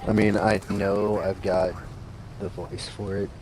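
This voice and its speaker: Brief: laid-back guy, very low and gravelly, casual stream chat over a noisy household background. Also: measured pace. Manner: relaxed, self-deprecating, stumbling restarts. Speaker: co-player on stream. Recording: noisy home mic. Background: household noise.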